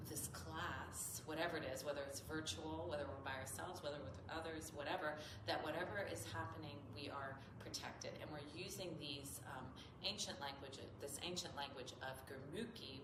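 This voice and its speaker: A woman with a slightly nasal voice explains as a yoga teacher in an informal, earnest, rambling tutorial. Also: deep voice. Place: echoey indoor yoga studio. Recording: roomy echo, clearly noisy background.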